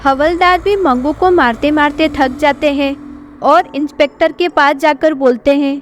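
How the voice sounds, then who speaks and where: A woman's voice: sits high, fairly even intonation, measured pace. A woman narrating a scripted horror story. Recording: background music.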